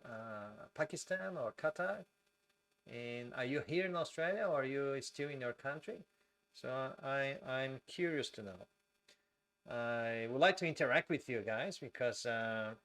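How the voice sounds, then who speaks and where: Slow-speaking Brazilian man. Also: mid-range voice, a bit bright. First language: Portuguese. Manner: speaks slowly and deliberately with many fillers. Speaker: host of a live stream. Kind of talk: live-stream talk reading viewer comments.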